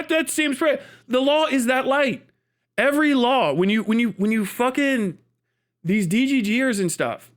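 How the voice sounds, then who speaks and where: A man with a high voice that swings widely in pitch, delivering off-the-cuff livestream commentary. He sounds exasperated and incredulous, talking bluntly and acting out the arguments he objects to. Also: fairly bright tone. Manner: exasperated, incredulous, often laughing mid-sentence; sweary, blunt. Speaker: live streamer. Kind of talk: unscripted commentary rant.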